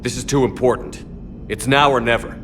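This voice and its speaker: A man's voice: medium pitch, fairly even intonation, a little fast, a bit bright, soft background music, close to an everyday voice. A man playing a resolute, principled military commander in a sci-fi game, speaking firmly and decisively. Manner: firm, decisive. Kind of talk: cinematic sci-fi game dialogue.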